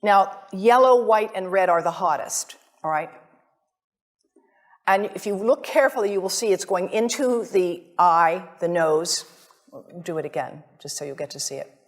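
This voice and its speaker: An American woman giving an academic presentation as a visiting scientist, speaking in a deliberate, emphatic way straight to her listeners. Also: fairly low pitch, animated. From United States.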